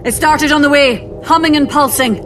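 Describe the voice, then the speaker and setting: An actress playing an earnest, tired soldier worn down by a long war. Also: female voice, sits high, normal speed, background noise, close to an everyday voice. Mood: reporting. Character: weary war-torn woman soldier, anxious and hopeful. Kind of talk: audio drama acting. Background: music score.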